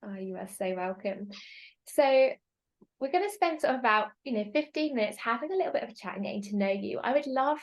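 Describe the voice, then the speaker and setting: A woman's voice: on the high side, lively intonation, fairly quick. A woman hosting an online summit, introducing her guest in an effusive, upbeat way. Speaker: summit host. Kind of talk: scripted guest introduction and interview.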